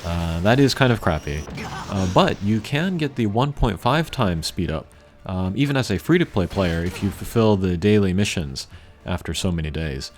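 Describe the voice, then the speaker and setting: A man giving casual, unscripted gameplay commentary in a rambling, low-key way with frequent hesitant pauses. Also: lively intonation. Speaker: game video creator. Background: video game music.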